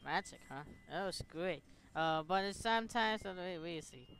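A man giving casual let's-play commentary and reading game text in halting, broken phrases. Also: high voice, expressive, a bit bright, smooth. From Philippines. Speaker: gaming video host.

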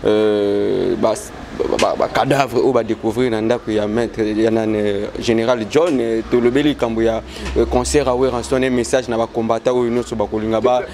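A young man with a Congolese accent interviewing a guest, hesitant with many pauses. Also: fairly low pitch, fairly even intonation, darkish tone, slight background noise. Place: indoors by a yellow wall.

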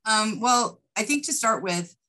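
A woman's voice: a little high, expressive, a little slow, pushed and bright. A middle-aged woman, an interview guest, explaining her writing in a thoughtful conversational way on a video call. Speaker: interview guest, writer and producer. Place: indoors, book-lined room, video call.

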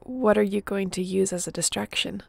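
A woman's voice: mid-range voice, normal speed, vocal fry, slightly rough, dry recording. A woman playing a resilient young apocalypse survivor in an audio roleplay, speaking intimately and earnestly with a wry edge. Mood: determined, planning. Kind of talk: dramatic audio roleplay addressed to the listener.

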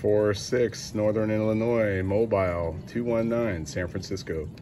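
American guy on cb radio, low voice, clipped casual calls, really noisy static. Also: steady tone, measured pace. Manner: clipped, repeats call signs. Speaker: CB radio operator. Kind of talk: casual radio call-outs.

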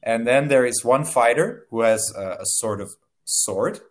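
A man's voice: medium pitch, lively intonation. Young man tutoring on a headset. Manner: friendly, laughs often, slow careful phrasing. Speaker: online English tutor. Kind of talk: casual video-call lesson. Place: small room indoors.

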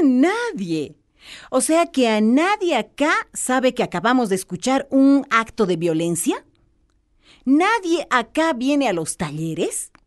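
A woman with a Bolivian accent playing a firm, caring football team captain in a radio drama. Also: on the high side. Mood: commanding. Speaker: football coach.

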